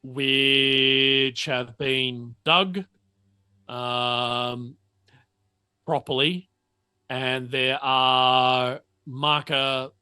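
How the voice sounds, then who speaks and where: A man running a tabletop roleplaying game as game master, narrating off the cuff and often halting to think mid-sentence. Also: medium pitch, flat delivery, bright, forceful tone, clean tone, dry recording.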